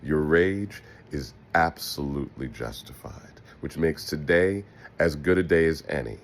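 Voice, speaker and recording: A man's voice: extremely low pitch, measured pace, a little hiss or hum. An American man delivering an opinion monologue as a host, in a sardonic, emphatic, profane manner with rhetorical asides. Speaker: political podcast host.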